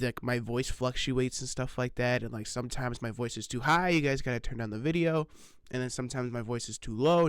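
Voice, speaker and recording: A man's voice: mid-range voice, smooth, no room sound, clean studio sound. A man hosting a reaction channel, talking in a loose, rambling way with lots of fillers and restarts, swearing casually and laughing at himself, sitting at his desk with an old microphone. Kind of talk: casual rambling vlog chat. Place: home recording setup, sitting at desk. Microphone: old desk microphone, sitting close.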